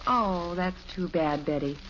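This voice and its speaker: An actress playing a gentle middle-aged American housewife and mother in an old radio sitcom, with a mild, placating manner. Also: lowish voice, measured pace, natural voice. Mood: soothing. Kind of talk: 1950s radio sitcom acting. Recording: dull, noisy old radio broadcast recording.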